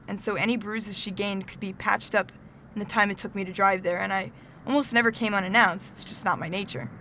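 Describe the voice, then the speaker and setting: Lady playing a calm earnest middle-aged therapist in an audio drama, medium pitch, measured, noticeable noise behind her. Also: natural voice. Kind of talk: student audio drama acting.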